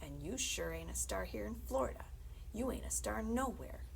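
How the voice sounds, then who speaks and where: A woman's voice: mid-range voice, animated, moderate pace, vocal fry, background noise. A woman voicing a crude, taunting rural Southern schoolboy bully in a storybook reading, jeering and mocking.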